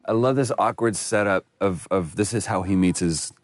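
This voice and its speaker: A man's voice: deep voice, moderate pace. A man giving casual, reflective commentary on scenes from a video game. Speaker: commentator on a game's cutscenes.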